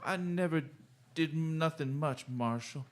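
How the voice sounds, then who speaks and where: A man playing a meek, good-natured simpleton drifter in a Western radio drama, halting and folksy. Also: a little high, close to an everyday voice. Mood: meek, friendly.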